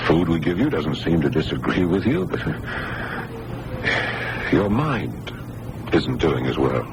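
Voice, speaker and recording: An actor playing a patient, kindly hospital doctor who coaxes and reasons gently, often repeating his words, in old-time radio drama style. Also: extremely low pitch, very animated, slow pace, exaggerated voice. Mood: coaxing, reassuring. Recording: noisy vintage radio broadcast recording.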